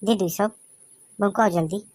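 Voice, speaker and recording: Guy putting on high boyish village lad from a bagheli cartoon, pushy and argumentative, dry close sound. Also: steady tone, unhurried, no room sound. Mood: cajoling, argumentative. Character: brash, cajoling young village man at a wedding. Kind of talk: dialect comedy cartoon voice acting.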